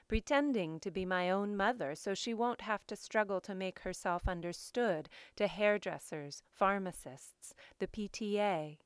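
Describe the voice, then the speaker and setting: A woman host reading literary work and scripted introductions in a measured, deliberate, expressive way. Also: medium pitch, clean tone, no room sound. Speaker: poetry show host and reader.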